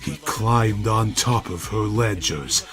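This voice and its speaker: A man narrating a scripted dramatic story in a deliberate, mock-serious manner. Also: fairly low pitch, fairly bright tone, faint music behind.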